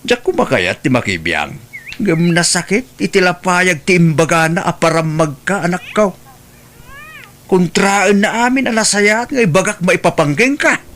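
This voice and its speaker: A man playing a relative airing family grievances in an expressive Filipino radio drama style. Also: sits high, moderate pace. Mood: concerned. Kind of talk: Ilocano radio drama acting.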